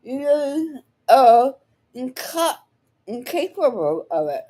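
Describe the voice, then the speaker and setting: A woman giving blunt, combative unscripted commentary in halting, broken sentences, often swearing. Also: high voice, big pitch swings, warm tone. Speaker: online commentator reacting to another speaker. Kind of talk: unscripted live reaction commentary.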